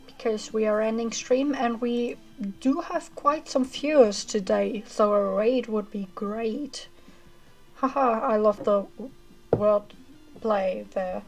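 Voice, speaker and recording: Woman streamer signing off, slow easy chat, kinda monotone, highish voice. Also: faint music behind, low-quality recording. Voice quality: monotonous reading voice. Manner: chatty, rambling with fillers. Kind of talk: casual chat ending a livestream.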